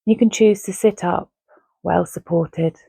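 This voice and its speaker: Slow, mellow woman guiding meditation. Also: fairly low pitch, steady tone, gravelly, husky, no room sound. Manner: gentle, unhurried, with long pauses between phrases. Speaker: meditation guide. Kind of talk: guided Yoga Nidra relaxation, soothing and measured.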